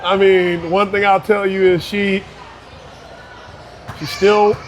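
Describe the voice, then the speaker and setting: Earnest high-voiced man from Barbados. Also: flat delivery, takes it slowly, slight background noise. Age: 30s. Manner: earnest, repeats and restarts words. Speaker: youth basketball coach. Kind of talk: casual interview conversation.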